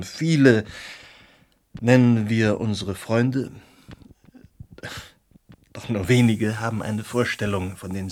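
Actor as tipsy, slow, husky Romantic dreamer. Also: male voice, mid-range voice, close to an everyday voice. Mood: bitter, proud. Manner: declamatory, with halting dramatic pauses. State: drunk. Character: tipsy, impassioned male Romantic artist, bitter philosophical dreamer. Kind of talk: German radio drama acting.

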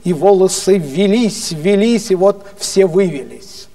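A man's voice: sits high, animated, unhurried, warm tone, distant mic. A man voicing a grand, witty old theatre actor in a storytelling performance, mock-solemn and drawing out his punchlines.

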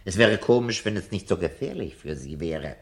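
An old, disillusioned man of books in a radio drama. His deep voice is gravelly and swings widely in melody. He speaks theatrically, brooding and sardonic, like a self-confessed coward looking back. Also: animated, moderate pace. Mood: probing, earnest. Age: older. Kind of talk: 1970 German radio drama acting.

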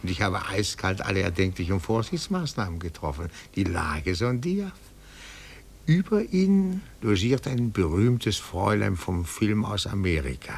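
An actor playing a pedantic petit-bourgeois amateur detective and reverent admirer, speaking with fussy, formal earnestness in a radio drama. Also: male voice, on the low side, big pitch swings, moderate pace, gravelly, slight background noise. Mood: pedantic, self-satisfied. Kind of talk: mid-century German radio drama acting.

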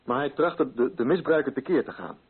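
An actor playing a hesitant, evasive subordinate colonial official in a radio play, speaking haltingly and reluctantly. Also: male voice, medium pitch, lively intonation, husky. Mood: nervous, reluctant. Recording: thin, phone-like old radio recording.

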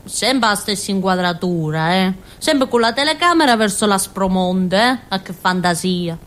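An actress playing a naive, excitable village girl with a Calabrian accent, telling her story in a childlike, colloquial theatrical monologue for radio. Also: medium pitch, moderate pace, natural voice. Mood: eager, confiding. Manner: childlike, colloquial storytelling with frequent giggles and asides.

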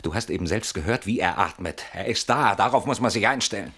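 An actor playing an anxious, defensive husband in a classic German radio play, agitated, stubborn and sardonic, with dramatic pauses and repeated words. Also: male voice, lowish voice, lively intonation, fairly bright tone, husky, exaggerated voice. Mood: tense, brooding. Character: anxious, defensive husband barricaded behind his door.

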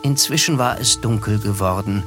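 A man playing a reflective writer who narrates a literary radio play in a measured way. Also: on the low side, fairly bright tone, faint music behind, natural voice. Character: wry, reflective male narrator, a struggling writer.

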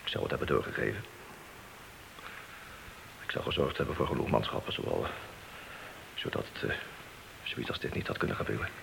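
An actor playing a remorseful, earnest police officer in a radio play, apologetic and halting. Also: male voice, extremely low pitch, big pitch swings, creaky, noisy background, full character voice. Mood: remorseful, pleading.

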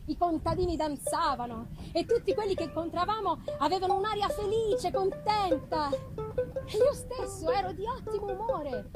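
A woman giving an expressive, deliberate dramatic reading of literary prose, with weighty pauses. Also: very high-pitched. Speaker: reader of a classic novel. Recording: live musical accompaniment behind the voice. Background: live music.

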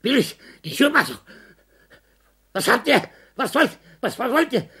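A woman's voice: a little high, big pitch swings, a little slow, fairly bright tone, husky, heavily acted. An actress playing a delirious, terrified woman who is suffocating in a fever, gasping out broken, pleading phrases over and over. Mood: panicked. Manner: pleading, panicked, repeating words. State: out of breath. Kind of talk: dramatic acting.

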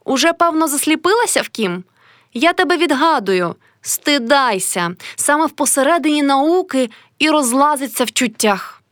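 A woman's voice: high-pitched, lively intonation, moderate pace, fairly bright tone, no room sound, clean studio sound, voice pushed up. A woman voicing a passionate, proud young female artist with an intense, dramatic delivery, as in an audiobook story. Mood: scornful, accusing.

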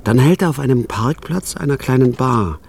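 An actor playing a tired, cynical night clerk who narrates in a dry, wry way in a radio drama. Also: male voice, medium pitch, expressive, normal speed, gravelly, a little mellow, slight background noise. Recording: music bed under the voice.

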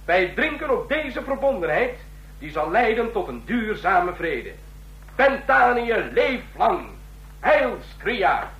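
Suave, scheming ambassador, animated delivery. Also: male voice, sits high, slightly rough, exaggerated voice, rough recording. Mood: grandiose. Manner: formal, deliberate, declamatory when toasting. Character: suave, scheming ambassador of a small authoritarian state. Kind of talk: Dutch radio drama acting.

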